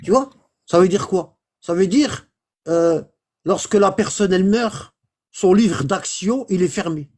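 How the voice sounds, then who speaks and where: Homme à la voix haute et voilée qui enseigne. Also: male voice, high-pitched, animated, unhurried, darkish tone. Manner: explains patiently, repeats words and checks understanding. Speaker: religious instructor. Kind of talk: informal religious teaching.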